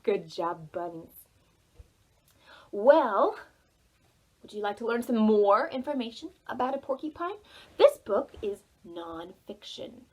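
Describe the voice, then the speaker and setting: Woman storytime host, high voice, very lively intonation, slow pacing, dramatic pauses, preschool read-aloud. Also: slightly rough. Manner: expressive, dramatic pauses, sing-song emphasis. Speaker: children's librarian, storytime host.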